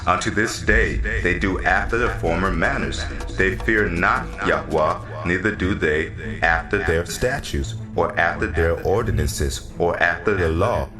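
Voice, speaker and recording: A man's voice: low voice, a little slow, roomy sound, music in the background. A man narrating scripture as a drama, in a solemn, declamatory manner.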